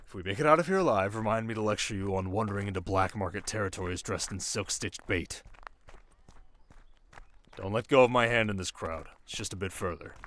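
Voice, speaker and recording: Man acting as a teasing young desert king. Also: fairly low pitch. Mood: hushed, urgent. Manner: teasing, measured, quietly commanding. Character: young, sharp desert king, teasing, shrewd, protective new husband. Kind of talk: intimate ASMR roleplay acting.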